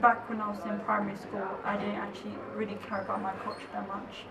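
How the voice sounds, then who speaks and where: Flat-voiced woman under loud ambient noise. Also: medium pitch, quick pace. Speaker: interviewee. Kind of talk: personal reflection in interview. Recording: echoey, distant mic with loud ambient noise.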